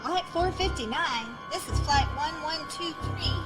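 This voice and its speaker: A woman's voice: high voice, measured pace. A woman playing a worried airline pilot making radio calls in an audio drama. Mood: worried, urgent. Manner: radio procedure, questioning. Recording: loud music over the voice.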